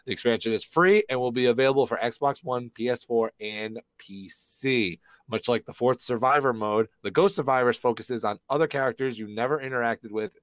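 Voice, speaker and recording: A man co-hosting a gaming livestream, slightly raspy from being sick, chatting and reading news aloud with a rambling manner. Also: medium pitch, normal speed, hardly any pauses, dry recording. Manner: rambling, with many fillers.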